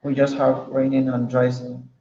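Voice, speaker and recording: A man's voice: medium pitch, completely flat delivery, normal speed, mellow, echoey room, lo-fi sound. A man from Cameroon with a Cameroonian English accent, hosting a casual group call, asking questions and often repeating himself. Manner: chatty, asks guests questions, repeats himself. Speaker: host of an online health forum.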